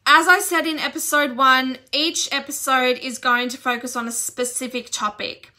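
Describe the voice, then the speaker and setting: A woman's voice: sits high, pushed and bright. A woman in her thirties from Australia gives an earnest, encouraging self-development talk to camera at home. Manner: earnest, encouraging, slow and deliberate. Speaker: business and self-development coach. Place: indoor room at home.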